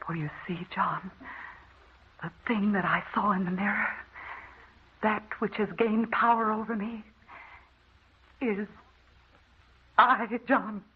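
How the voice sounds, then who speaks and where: An actress playing a doomed, haunted wife giving a melodramatic farewell monologue in an old-time radio drama. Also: female voice, medium pitch, fairly even intonation, a little hiss or hum, lightly acted. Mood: anguished farewell. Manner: melodramatic, slow building dread. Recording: tinny, narrow old radio recording.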